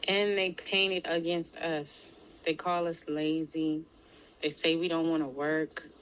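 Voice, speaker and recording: A woman's voice: deep voice, level tone, a little slow, rough recording. A woman making a passionate argument in a group discussion. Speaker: discussion participant.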